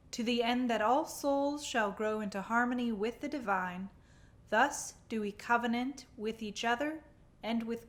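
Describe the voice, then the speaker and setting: A woman speaking at a church service, reciting in a solemn, formal style. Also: fairly high pitch.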